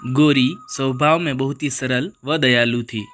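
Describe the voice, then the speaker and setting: A man's voice: mid-range voice, fairly even intonation, a little slow, fairly bright tone, slight background noise. A man narrating a scripted children's animal story as its storyteller. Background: music.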